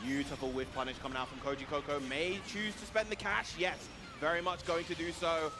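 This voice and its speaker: A man's voice: on the high side, steady tone, fairly quick, background noise. A man giving analytical live commentary on a fighting game tournament as a co-commentator. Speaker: esports co-commentator. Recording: music behind the voice.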